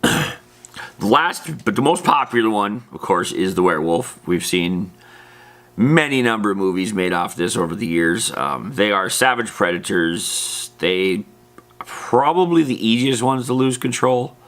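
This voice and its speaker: A man's voice: fairly low pitch, lively intonation, unhurried, a bit bright. A man giving a casual, unscripted explainer as a host, in an informal, matter-of-fact, rambling way. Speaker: host of a tabletop roleplaying lore channel.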